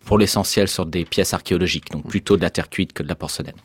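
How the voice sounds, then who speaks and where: A man who curates an exhibition, a guest in a radio studio, explaining things in a calm, measured way. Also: low-pitched, husky. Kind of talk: radio interview.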